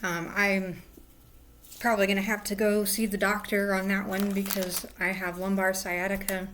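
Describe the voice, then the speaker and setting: A woman with a hoarse voice, rambling casually as she hosts a crafting livestream from home, sometimes drifting into soft murmurs. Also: medium pitch, level tone, normal speed, a little hiss or hum. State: hoarse, lost voice. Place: at home indoors.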